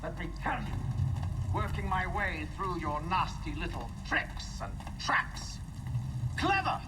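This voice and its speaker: An actor playing a theatrical older gentleman villain in a video game, eloquent, mocking and bitter at a betrayal. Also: sits high, big pitch swings, slow pace, distant mic, very noisy, full character voice. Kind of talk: dramatic video game acting.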